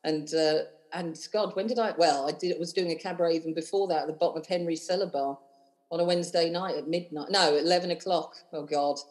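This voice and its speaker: London lady in her 30s, lowish voice, gushing and rambly, lots of ums. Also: steady tone, quick pace, a little mellow. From London, England. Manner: chatty and effusive, rambling with many fillers. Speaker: cabaret host and singer, guest. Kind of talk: casual interview chat.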